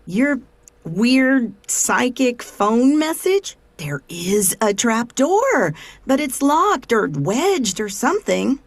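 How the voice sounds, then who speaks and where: Puzzled wife played by a woman, lilting and slow, lively pitch, close dry sound, dark comedy audio play. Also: no echo, full character voice. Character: puzzled wife calling to her husband.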